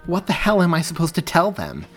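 A man's voice: on the high side, huge pitch swings, fairly quick, breathy edge, faint music behind, pitched above the performer's own voice, heavily acted. A man reading aloud as a wife and mother who grows irate and hurt, her voice near breaking. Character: upset woman, wife and mother.

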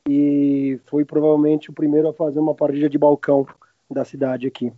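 A man in his late thirties from Argentina with a Brazilian accent, chatting casually and haltingly as an interview guest, often backtracking mid-sentence. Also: fairly high pitch, level tone, dry recording. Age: late 30s. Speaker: podcast guest, restaurant owner. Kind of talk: casual chat. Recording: roomless dry, slightly dull lo-fi sound.

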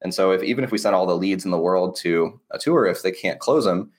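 Man, low register, rapid delivery; earnest, conversational interviewee explaining his business. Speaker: marketing agency owner being interviewed. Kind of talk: casual interview talk.

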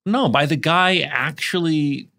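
A man's voice: fairly high pitch, slow pace, bright, forceful tone. A man hosting a podcast, interviewing guests in a casual, rambling way with joking asides.

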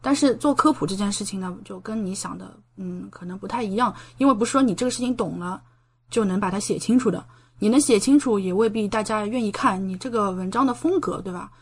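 A woman's voice: mid-range voice, fast, husky. A woman guest on a podcast, talking casually and explaining things in a talkative way with rhetorical questions and quoted exchanges. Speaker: podcast guest, medical doctoral student and science writer.

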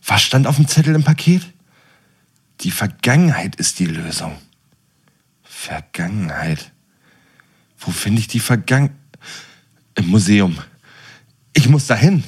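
Man as husky, agitated clue-hunting townsman. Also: on the low side, big pitch swings, a little slow, pauses often, full character voice. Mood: puzzled, determined. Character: agitated male townsman hunting clues, calling into walkie-talkie. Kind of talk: comedic audio drama.

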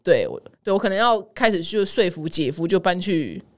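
A woman's voice: on the low side, very animated, a little fast. A woman with a Taiwanese Mandarin accent hosting a casual news podcast, exclamatory and dramatic, wandering into personal asides and mixing in English words. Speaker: podcast host.

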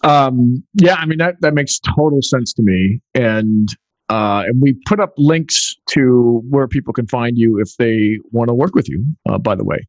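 A man's voice: mid-range voice, animated, normal speed, a little mellow, no echo, rough recording. A man hosting an interview, asking casual questions and often repeating words as he starts a thought. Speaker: podcast host.

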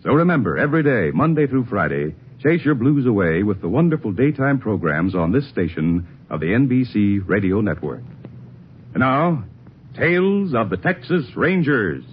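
A man's voice: medium pitch, big pitch swings, moderate pace, a little hiss or hum. A male network announcer reading an upbeat 1950s promo for daytime radio programs. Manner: upbeat, sing-song sales delivery. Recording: muffled old radio broadcast.

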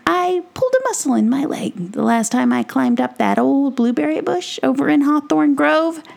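A woman's voice: high-pitched, animated, normal speed, creaky, a little mellow, voice pushed up, exaggerated voice. A woman voicing Brisbane, a small, self-important male warrior creature who talks in grand, old-fashioned heroic phrases. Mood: grandly boastful.

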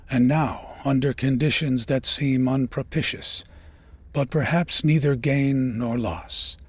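A man reading poetry in a measured, solemn, dramatic recitation with deliberate pauses and a gravelly voice that turns breathy at phrase ends. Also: medium pitch, dry recording. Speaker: poetry reader. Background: ambient sound design.

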